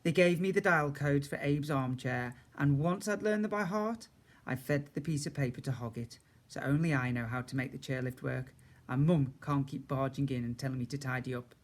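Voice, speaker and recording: A man's voice: medium pitch, moderate pace. A man reading a children's story aloud expressively, as a teacher does for a young class.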